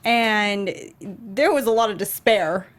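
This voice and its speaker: A woman's voice: mid-range voice, takes it slowly. A woman co-hosting a talk show, discussing things in an informal, thoughtful, conversational way. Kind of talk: casual video game and film discussion.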